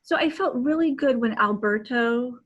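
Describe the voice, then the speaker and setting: A woman with a fairly high voice co-hosts a casual book chat. She is effusive, often repeating words and starting phrases over. She speaks from a room at home over a video call. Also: highs cut off. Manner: effusive, halting and repetitive. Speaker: podcast co-host. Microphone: video call microphone.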